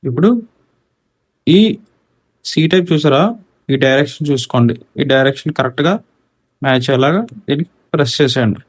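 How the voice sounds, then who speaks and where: Man, Telugu accent, medium pitch, casual unboxing narration, English tech terms mixed in. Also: fairly quick, long pauses. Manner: mixes English technical words into talk. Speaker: motorcycle gear reviewer. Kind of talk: casual unboxing and installation walkthrough.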